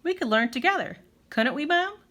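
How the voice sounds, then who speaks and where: Woman voicing a high, confident little girl. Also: animated, normal speed, a bit bright. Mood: friendly, eager. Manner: eager, forthright. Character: friendly, confident, kind little schoolgirl.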